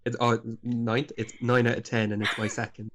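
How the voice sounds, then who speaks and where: A man co-hosting a casual podcast chat, relaxed and self-mocking as he reads out his notes. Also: medium pitch, normal speed, very noisy. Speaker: podcast co-host.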